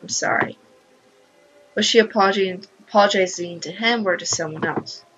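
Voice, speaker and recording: A woman's voice: mid-range voice, fast, fairly bright tone, slight background noise, low-quality recording. A woman hosting a gaming channel, reading a visual novel aloud and adding emotional commentary. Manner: emotional, exclaiming reactions.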